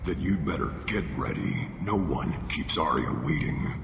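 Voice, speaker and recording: An actor voicing a gruff, menacing alien enforcer in a video game, gravelly and curt, in a reverberant, noisy space. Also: male voice, rumbling low, sing-song, moderate pace, full character voice. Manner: curt, threatening. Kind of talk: video game dialogue acting. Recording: reverberant space, clear ambient noise.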